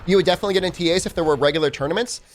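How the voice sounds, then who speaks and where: A man's voice: high-pitched, very fast, soft background music. A young man with a phlegmy cold voice, chatting casually with his stream while he plays, full of "I mean" and "like". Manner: rambling, lots of "I mean" and "like". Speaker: speedrun streamer.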